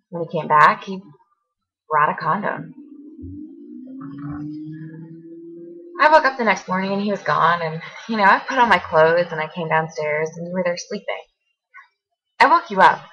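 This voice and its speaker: A woman acting a hurt, accusing young woman confronting her former best friend in a halting, emotional dramatic monologue. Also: lowish voice, bright, forceful tone, highs cut off. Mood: tense, confessional. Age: young adult.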